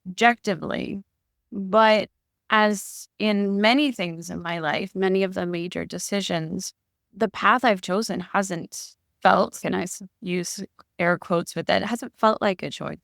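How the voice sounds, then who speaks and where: A middle-aged woman speaking as a podcast guest, candid and emotional, pausing and correcting herself as she thinks aloud. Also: mid-range voice, fairly even intonation, gravelly, a bit bright, no echo, clean studio sound. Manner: thoughtful, candid and emotional, with pauses and self-corrections. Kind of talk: reflective interview conversation.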